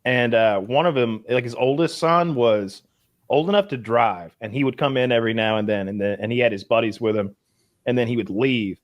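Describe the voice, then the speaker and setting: A man's voice: mid-range voice, brisk, muffled recording. A man in his thirties co-hosting a podcast, telling stories animatedly and laughing often. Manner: animated storyteller, laughs a lot. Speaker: podcast co-host. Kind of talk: casual comedic chat.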